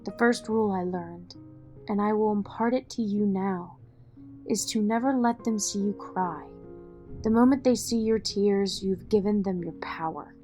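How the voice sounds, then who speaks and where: An actress plays a poised, wealthy mother in an audio drama. Her mid-range female voice is measured and commanding, firm as she instructs. Music plays underneath. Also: medium pitch, mellow, lightly acted. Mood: instructive, measured.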